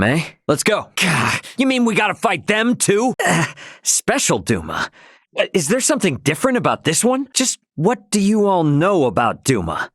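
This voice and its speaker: A man's voice: fairly high pitch, fairly bright tone, no room sound, studio quality, exaggerated voice. An actor playing a brash, informal young starship captain, a cocky but loyal man, in a video game. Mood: wary, confused. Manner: informal, slangy, plain-spoken. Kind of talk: English game dub character dialogue.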